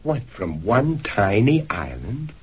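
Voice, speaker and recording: An actor playing a shrewd, sardonic, probing professor in a 1970s radio drama. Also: male voice, mid-range voice, very animated, slow pace, slightly rough, heavily acted. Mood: earnest. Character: shrewd, wry male professor investigating a conspiracy. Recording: dull vintage radio recording.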